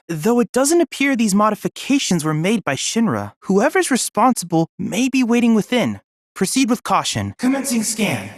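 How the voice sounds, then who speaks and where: An actor voicing an earnest, excitable young android boy researcher in a video game, with precise, formal, polite diction. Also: mid-range voice, no room sound, studio quality. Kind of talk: video game character voice lines.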